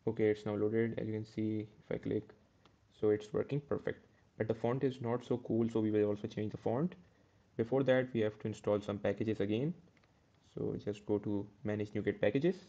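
Man, coding tutor, flat low voice, dark tone, slightly dull recording. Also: normal speed. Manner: step-by-step, self-correcting with "uh" and "okay". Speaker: programming tutor.

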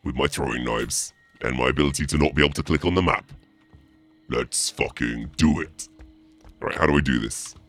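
A man commentating on a game in a theatrical, mock-menacing, sweary way, his voice pitched down by a voice changer. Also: brisk, a bit bright, faint music behind. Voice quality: deep, gravelly, through a voice changer. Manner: theatrical mock-menacing taunts mixed with muttering. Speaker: streamer playing a game as the killer.

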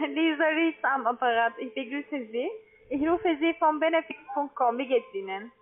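Female telesales agent, high voice, heard through a thin telephone line. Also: normal speed. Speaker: call-centre agent. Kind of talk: phone sales call. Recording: narrow tinny phone line.

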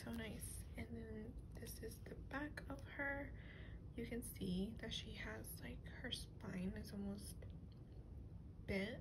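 A woman speaking softly and half-whispering in a casual ASMR show-and-tell, with a gentle, hushed delivery. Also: a little high, steady tone, slow. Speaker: host of an ASMR doll video. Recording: clearly noisy recording with ambient hiss.

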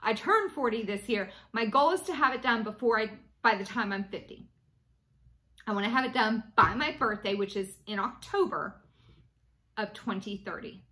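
Late-30s woman, chatty at-home vlogger, bright and forward voice, lots of ums and restarts. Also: on the high side, animated, normal speed. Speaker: cross-stitch vlogger. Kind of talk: casual chat to camera. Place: small room indoors at home.

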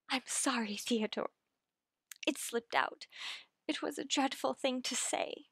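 A woman playing an earnest, anxious woman in love with a widower, in slow, pleading, melodramatic old-time radio suspense style. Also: high-pitched, creaky, fairly bright tone, breathy edge, no echo, studio quality, heavily acted. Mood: remorseful, tender. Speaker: radio drama actor.

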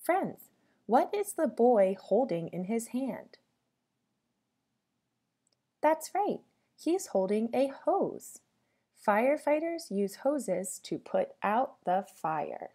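Female teacher for children's read-aloud: mellow tone, lively sing-song intonation, addresses kids directly with questions. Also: a little high, slow, long pauses. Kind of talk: interactive storybook read-aloud for young children.

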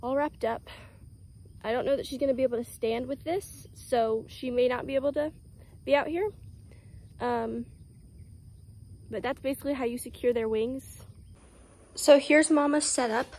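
A young woman with a Southern US accent, talking casually through a how-to farm vlog, full of "um"s and soft, soothing words to her animals. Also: high-pitched, normal speed, pauses often. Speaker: vlogger caring for farm animals. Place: outdoors on a farm by animal pens. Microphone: phone camera held close, selfie framing.

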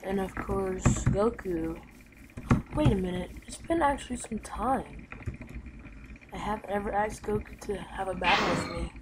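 A woman's voice: medium pitch, moderate pace. A woman narrating an improvised gaming roleplay in a hesitant way, with sentences that trail off. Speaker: gaming video creator playing a roleplay character. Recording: clearly noisy home recording.

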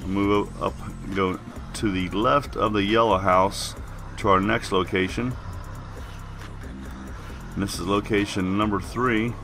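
A man's voice: on the low side, fairly even intonation, takes it slowly, creaky, music in the background. A man giving casual, plain-spoken game walkthrough commentary as a video host while getting over a cold.

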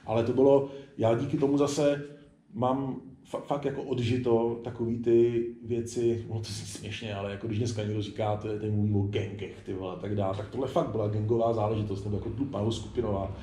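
A man's voice: lowish voice, little pitch movement, mellow. A man in his forties talking casually and candidly as an interview guest, rambling informally. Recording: echoey room.

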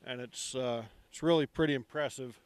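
A middle-aged man giving a relaxed walking tour of an outdoor construction site, speaking into a handheld microphone with many ums. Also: medium pitch, normal speed, smooth, no room sound.

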